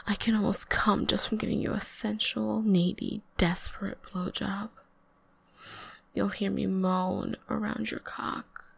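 Girl doing a young elf girl, breathy and begging, lots of whispering, high voice, slow, kinda gravelly. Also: full character voice. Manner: breathy, pleading, often whispered. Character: young female elf, eager and submissive. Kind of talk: intimate adult audio roleplay.

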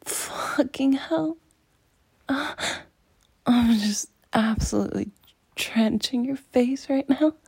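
A woman in an intimate adult audio roleplay, speaking in a breathy, teasing near-whisper with frequent sighs. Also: fairly high pitch, a little mellow, dry recording. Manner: slow, intimate, teasing, sighing.

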